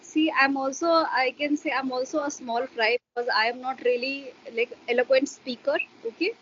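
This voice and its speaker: A woman's voice: sits high, steady tone, normal speed, fairly bright tone, muffled recording, a little hiss or hum. A woman, a Hindi speaker, explaining her views in an interview with a hesitant manner and many fillers. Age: adult parent. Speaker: interview guest. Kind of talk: casual explanatory interview talk.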